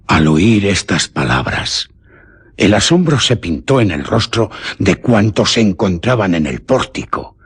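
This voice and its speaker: A man's voice: low voice, very animated, a little slow, breathy edge. A man with a Castilian accent narrating a radio drama in a solemn, literary storytelling manner. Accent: Castilian Spanish. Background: faint music.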